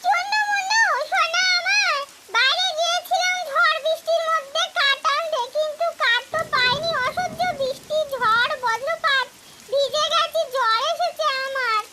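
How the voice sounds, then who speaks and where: A woman's voice: very high voice, moderate pace, heavy background noise. A woman voicing an anxious, tender mother bird in a cartoon, pleading and plaintive. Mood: tender, weary. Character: anxious mother bird, tender with her chicks, helpless in a storm. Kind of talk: cartoon voice acting.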